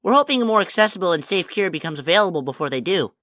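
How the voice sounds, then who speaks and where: A friendly, cautious alien ruler in an audio drama. The delivery is earnest and conversational, with very lively pitch movement. The sound is dry and close. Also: female voice, rapid-fire, no echo. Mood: earnest, uneasy. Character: friendly, slightly anxious alien ruler, a loyal companion.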